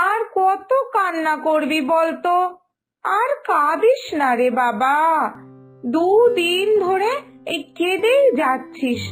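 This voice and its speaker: A woman voicing a sensible, patient elder sister-in-law who gently chides, in an animated moral story. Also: very high voice, full character voice. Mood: soothing, coaxing. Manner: chiding, patient, big-sisterly.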